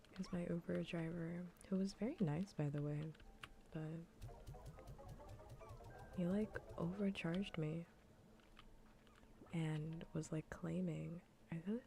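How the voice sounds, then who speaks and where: Soft, breathy, low-pitched woman streamer. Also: a little hiss or hum. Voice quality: soft, breathy, often near-whispered. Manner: casual, rambling, repeats phrases. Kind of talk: soft-spoken ASMR gaming stream chat.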